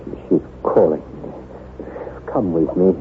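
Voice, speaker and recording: An actor playing an anguished, jealous husband in old-time radio drama, speaking in a halting, melodramatic way. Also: male voice, low-pitched. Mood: anxious, pleading. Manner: melodramatic, halting, repeats words for emphasis. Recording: tinny, noisy vintage radio recording.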